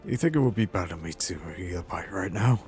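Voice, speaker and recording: A man playing a nervous, excitable fantasy warrior in a video game roleplay, muttering to himself and calling out. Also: deep voice, brisk, music in the background, close to an everyday voice. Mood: hushed, nervous excitement. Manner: hushed muttering to himself, breaking into shouts. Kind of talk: in-character game roleplay commentary.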